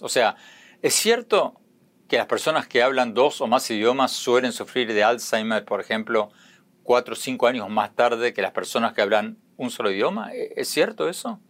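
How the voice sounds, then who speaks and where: A middle-aged man from Argentina, hosting a broadcast interview and asking measured, earnest questions. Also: mid-range voice, fairly bright tone. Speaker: news show host.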